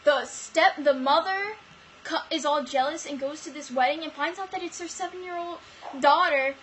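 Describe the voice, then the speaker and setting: A young woman casually retelling fairy tales in a comic, animated and slangy way, as a co-host of an amateur video. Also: high-pitched, normal speed, a little hiss or hum, rough recording. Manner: animated slangy storytelling with mock quotes. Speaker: vlog co-host.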